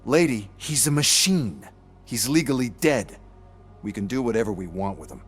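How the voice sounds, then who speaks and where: A contemptuous corporate overseer in a video game, his voice mid-pitched and ordinary. He talks down to everyone, mocking and dismissive. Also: lively intonation, normal speed, husky, soft background music. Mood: scornful. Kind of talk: video game cutscene acting.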